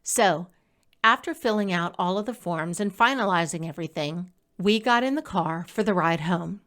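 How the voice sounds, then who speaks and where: A woman reading her own blog post aloud as scripted personal narration, in a conversational, expressive storytelling manner. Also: on the low side, moderate pace.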